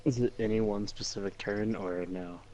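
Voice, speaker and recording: A man's voice: lowish voice, steady tone, moderate pace, dark tone. A man chatting casually as a player in a tabletop role-playing game, hesitant and questioning.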